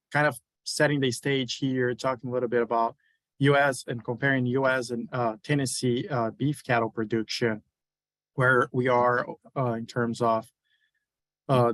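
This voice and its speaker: A man's voice: mid-range voice, dry recording. A man from Brazil with a Brazilian accent, giving a webinar lecture as a university beef cattle specialist, with many hesitant fillers. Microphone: video call.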